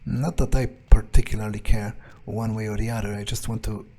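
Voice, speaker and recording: A man improvising in-character game commentary as a jaded vampire, thinking aloud in a deadpan, sardonic, musing way. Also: fairly low pitch, brisk, a little hiss or hum. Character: jaded, unimpressed male vampire muttering his thoughts. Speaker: let's player roleplaying in character.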